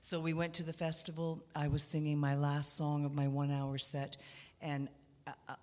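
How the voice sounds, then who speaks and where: Low-voiced Canadian woman, halting. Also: monotone, a little mellow. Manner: hesitant, many fillers and restarts. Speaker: guest musician. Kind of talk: radio interview.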